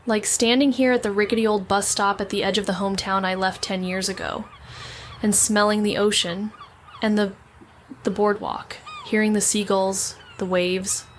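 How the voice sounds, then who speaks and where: A woman's voice: mid-range voice, flat delivery, normal speed, lightly acted. A woman playing a wry, self-deprecating young woman back in her hometown, narrating an audio drama in a conversational, sardonic way with rambling asides. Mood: wry, ambivalent. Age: young adult. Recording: noticeable background ambient noise.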